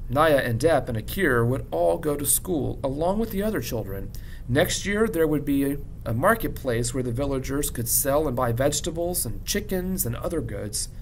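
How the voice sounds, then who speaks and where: A man narrates a children's story in a classroom read-aloud style. His voice sits at a middle pitch. A noticeable low rumble runs behind the recording. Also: low-quality recording. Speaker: teacher reading a book aloud to students. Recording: clear background rumble noise.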